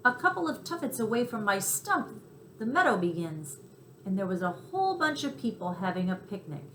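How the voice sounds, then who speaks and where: A woman's voice: medium pitch, sing-song, moderate pace, a bit bright, reverberant, a little hiss or hum, rough recording. A woman voicing a polite, earnest male cricket who tells his adventure in a mild, chatty way, in a children's story. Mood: confessing.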